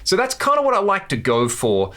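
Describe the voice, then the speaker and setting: A man's voice: sits high, wildly swooping pitch, a little fast, sharp tone. A man hosting a casual guitar gear tutorial, talking through settings with enthusiasm. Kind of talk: casual instructional demo to camera.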